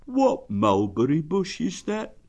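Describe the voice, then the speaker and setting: A man's voice: a little high, huge pitch swings, takes it slowly, a little mellow, pitched above the performer's own voice, heavily acted. A man voicing a friendly, simple-minded storybook bear, earnest and a little puzzled. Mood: puzzled, friendly concern.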